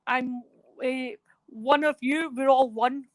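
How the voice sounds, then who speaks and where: A woman's voice: high voice, fairly even intonation, slow pace, no echo, poor audio. A woman talking as a guest in a remote radio interview, rambling and hesitant with many fillers. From Scotland.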